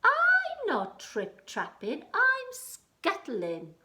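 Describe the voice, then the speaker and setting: A tiny storybook spider squeaks and pleads in a very high, drawn-out voice, as a woman reading aloud puts it on. It swoops all over and echoes in a roomy space. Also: wildly swooping pitch, noisy background, heavily acted. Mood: squeaky, indignant. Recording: roomy echo.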